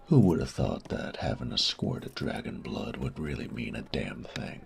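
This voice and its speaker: Man acting a very deep, gravelly, sadistic bandit. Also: lively intonation, a little hiss or hum, full character voice. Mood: smug, taunting. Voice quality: gravelly, often drops to a breathy whisper. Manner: slow, taunting, drawling, savoring pauses. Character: sadistic, cocky young male bandit, smug and teasing, menacing. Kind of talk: scripted roleplay audio drama monologue.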